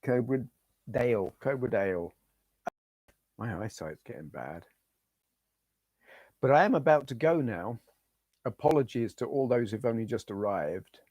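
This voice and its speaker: A middle-aged man with a hoarse voice, chatting casually to viewers on a retro gaming live stream and reading out their names. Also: mid-range voice, animated, unhurried, long pauses, darkish tone, no echo. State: hoarse, losing his voice.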